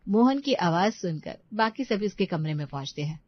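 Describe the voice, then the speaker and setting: A woman's voice: on the low side, expressive, moderate pace, dry recording, lo-fi sound. A woman reading scripted story narration.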